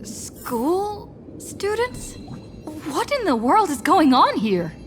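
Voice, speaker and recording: Very high, bewildered game heroine. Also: female voice, very animated, very slow, exaggerated voice. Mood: puzzled. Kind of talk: video game voice acting. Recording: music behind the voice. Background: game music.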